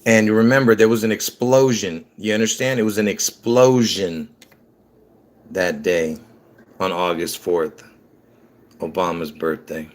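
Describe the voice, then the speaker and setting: A man's voice: low-pitched, fairly even intonation, unhurried, pauses often. A man from Lebanon giving a rambling monologue to his viewers, restarting sentences often and addressing them directly. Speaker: conspiracy video creator. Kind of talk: rambling casual monologue to camera.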